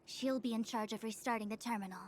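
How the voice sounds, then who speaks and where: A woman voicing a calm, formal female seer who speaks in a measured, dryly exasperated way, in a game cutscene. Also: on the high side, slight background noise, close to an everyday voice. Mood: composed.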